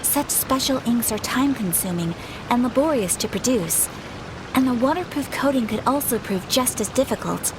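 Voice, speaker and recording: A woman playing a refined, courteous young noblewoman in an anime game, speaking in a polite, measured, formal way. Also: on the high side, lively intonation, noisy background, close to an everyday voice. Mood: thoughtful.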